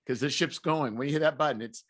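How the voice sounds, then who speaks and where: A man hosting a podcast in casual conversation, talking haltingly and often restarting his phrases. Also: medium pitch, fairly bright tone. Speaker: podcast host.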